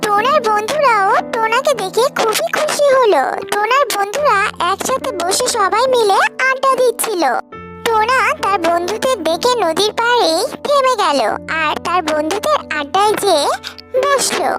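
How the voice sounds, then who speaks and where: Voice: extremely high pitch, animated, normal speed. A narrator reading a scripted cartoon story for children. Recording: music behind the voice.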